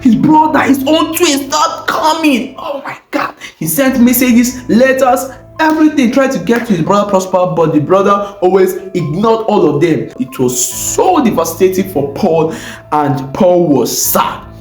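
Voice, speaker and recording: A man with a West African accent telling a story in an animated, informal way, full of exclamations, repeated phrases and rhetorical questions. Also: sits high, a little slow. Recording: roomy echo, faint music bed.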